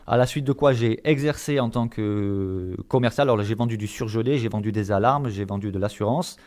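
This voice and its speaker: A man's voice: lowish voice, a little fast, a little mellow. A man from Marseille answering in an interview, hesitant and searching for his words. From Marseille, France. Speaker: interview guest, volunteer visitor of the elderly.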